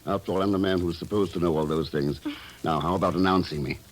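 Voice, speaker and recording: Man as an urbane, low-voiced secret agent. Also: steady tone, fast, close to an everyday voice. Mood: genial, amused. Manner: urbane, easygoing, assured. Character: genial, unflappable male globe-trotting intelligence agent. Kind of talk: 1950s radio drama acting. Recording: noisy vintage radio drama recording.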